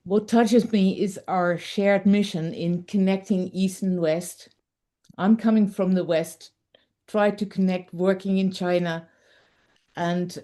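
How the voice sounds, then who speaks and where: A woman speaking informally in measured, reflective short phrases, offering appreciation to someone on a video call. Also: lowish voice, warm tone. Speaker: participant giving thanks at an online gathering.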